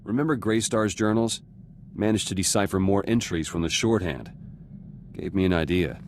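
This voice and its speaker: Very deep, terse male resistance fighter, acted. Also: normal speed, a little hiss or hum, lightly acted. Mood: businesslike, determined. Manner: clipped, blunt, dry short phrases. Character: gruff, terse male resistance fighter turned reluctant politician. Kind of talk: cinematic video game voice acting.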